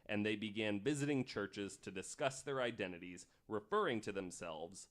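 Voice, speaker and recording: A man's voice: lowish voice, animated, normal speed. A man hosting a podcast, telling stories from notes in an informal, casual way. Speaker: podcast host.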